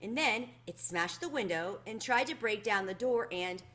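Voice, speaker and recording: A woman's voice: mid-range voice, animated, normal speed, a bit bright, lightly acted. A woman voicing an earnest young girl in a storybook, insistent and easily indignant. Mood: nervous.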